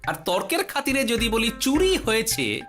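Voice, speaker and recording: Witty cartoon trickster, sly, mocking, sing-song, very animated pitch, clear music behind. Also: female voice, normal speed. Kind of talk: Bengali comic cartoon acting. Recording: background music.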